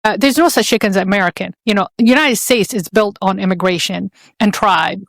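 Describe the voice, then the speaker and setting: A woman's voice: medium pitch, fairly quick, no room sound. Earnest woman host, dry close sound. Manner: earnest, rambling, heartfelt. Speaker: podcast host. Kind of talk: casual interview chat.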